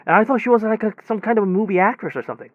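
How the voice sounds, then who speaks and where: Man acting a rambling, high-pitched technician. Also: dry recording, highs cut off, lightly acted. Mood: awed, searching. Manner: halting, rambling, repetitive, self-interrupting. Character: rambling male technician recounting his bizarre workday. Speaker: air conditioner installation technician. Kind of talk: conversational audio drama monologue.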